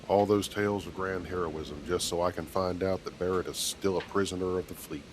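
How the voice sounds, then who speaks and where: An actor playing a commanding, protective starship captain in a video game, speaking in a measured, dry, authoritative way. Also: male voice, low-pitched, fairly even intonation, a little hiss or hum, close to an everyday voice, rough recording. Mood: dry, exasperated. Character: steady, commanding male starship captain, dryly skeptical, protective. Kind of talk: video game character dialogue.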